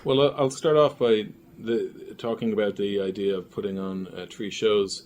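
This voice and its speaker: A man's voice: lowish voice, steady tone, moderate pace, darkish tone, highs cut off. A man talking casually and reflectively as a guest in an interview. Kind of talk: casual conversation. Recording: faint room noise and ambience.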